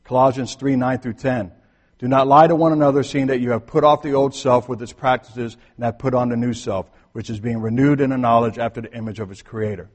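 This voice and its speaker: Husky-voiced male pastor preaching in a reverberant hall. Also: mid-range voice, steady tone, fairly quick, highs cut off. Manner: conversational preaching, swings from soft to emphatic. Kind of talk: sermon. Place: church sanctuary.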